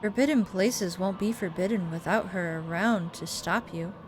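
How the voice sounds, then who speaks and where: A woman voicing a sinister veiled male monster in a game, speaking in a drawn-out, ominous way. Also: on the low side, a little hiss or hum, natural voice. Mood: calm, insinuating.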